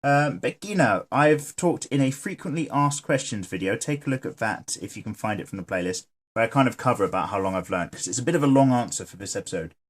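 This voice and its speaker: A man's voice: medium pitch, lively intonation, quick pace, hardly any pauses. A man hosting his own channel, chatting casually and joking while he sketches and reads viewer comments aloud. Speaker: artist and channel host.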